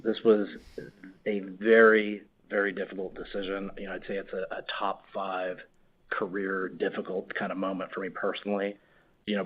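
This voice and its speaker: A man, a company executive, giving halting remarks on an earnings call, repeating words and saying "you know" often. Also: low voice, breathy edge. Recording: muffled conference call audio.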